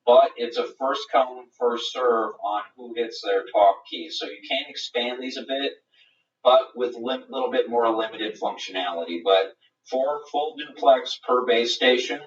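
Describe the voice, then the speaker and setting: Guy doing a webinar product demo, explains step by step, sounds far from the mic and kinda muffled. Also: mid-range voice. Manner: methodical, explanatory. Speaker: product presenter. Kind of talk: live webinar product demonstration. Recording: roomy, distant, dull webinar audio.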